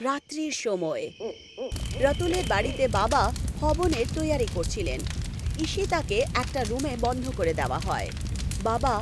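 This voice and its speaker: A woman's voice: high voice, sing-song, normal speed, pauses often, noisy background. A woman narrating a scripted horror story as the storyteller. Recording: loud music behind the voice.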